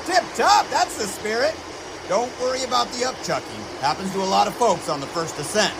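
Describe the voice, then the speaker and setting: An actor playing a cheerful, folksy, unflappable test pilot in a period radio drama, breezy and reassuring. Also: male voice, sits high, expressive, fairly quick. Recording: noisy aircraft engine background.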